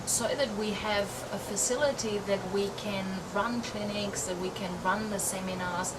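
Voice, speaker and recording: A middle-aged woman from Europe, a riding coach, answering interview questions in a relaxed, reflective way with many ums and restarts. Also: medium pitch. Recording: distant mic, loud outdoor background noise.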